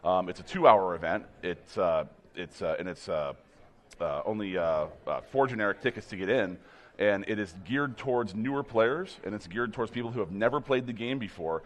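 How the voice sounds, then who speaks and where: A hoarse man leading a game demo team, talking casually with many restarts. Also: normal speed. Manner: rambling, many restarts, upbeat pitch. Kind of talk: casual chat.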